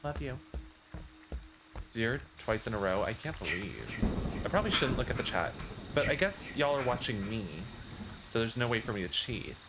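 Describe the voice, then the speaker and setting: A man's voice: medium pitch, animated, moderate pace, pauses often, poor audio. A man streaming a game, chatting casually with friends over music. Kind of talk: casual group gaming chat. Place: voice over gameplay with music behind. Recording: clear music behind the voice.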